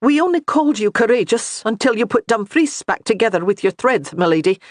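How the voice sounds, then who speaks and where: Audiobook figure: meek young Highland woman, higher voice, deferential, read by the narrator. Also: very animated, fairly quick, no echo, studio quality. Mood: sheepish. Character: young Highland clanswoman, contrite.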